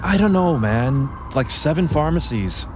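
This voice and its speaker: An actor playing a weary, sarcastic but dedicated paramedic driver in an audio drama, gruff and exasperated. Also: male voice, on the high side, expressive, measured pace. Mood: sardonic. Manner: sardonic, exasperated, swearing. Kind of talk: audio drama acting with internal narration. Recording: clear vehicle ambience behind the voice. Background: ambulance and traffic sound effects.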